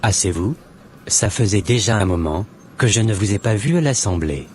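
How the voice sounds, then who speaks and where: Low-voiced devout man, acted by a man, measured. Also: animated, a little mellow, faint music behind, lightly acted. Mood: calm, admonishing. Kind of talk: scripted cartoon dialogue.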